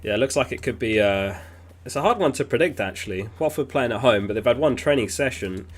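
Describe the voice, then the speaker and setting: A man hosting a casual livestream, reading viewer messages aloud in a relaxed, chatty way. He is sniffly and keeps clearing his throat. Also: medium pitch, a little fast. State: sniffly, getting over an illness. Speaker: fantasy football livestream host.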